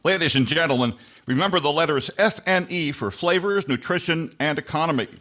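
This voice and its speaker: A man's voice: fairly high pitch, brisk, a bit bright, soft background music, close to an everyday voice. An older man playing an emphatic 1940s radio announcer reading sponsor copy. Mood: selling, emphatic. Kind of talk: 1940s radio advertising read. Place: home room, video call.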